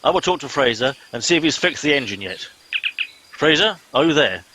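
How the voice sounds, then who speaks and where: A man's voice: medium pitch, very animated, fairly quick, bright, forceful tone, a little hiss or hum. A man playing a pompous, exasperated starship captain in a comic radio play. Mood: commanding. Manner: pompous, commanding.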